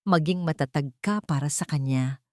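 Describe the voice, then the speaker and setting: Woman narrator as kindly female doctor in romance drama: Filipino accent, gentle, reassuring delivery. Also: deep voice, moderate pace, dry recording, studio quality, lightly acted.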